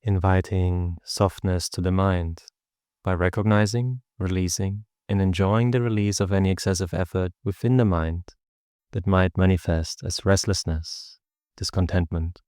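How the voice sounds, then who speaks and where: Low, smooth male meditation guide. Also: no echo, clean studio sound. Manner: calm, measured, instructional delivery with pauses. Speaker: meditation teacher.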